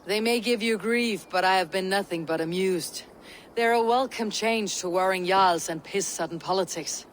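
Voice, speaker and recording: Faint ambience surrounds an actress playing a female Viking warrior leader in a historical game. She speaks with measured, resolute authority, steady and composed. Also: medium pitch, slight background noise, lightly acted. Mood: friendly, composed. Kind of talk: dramatic video game acting.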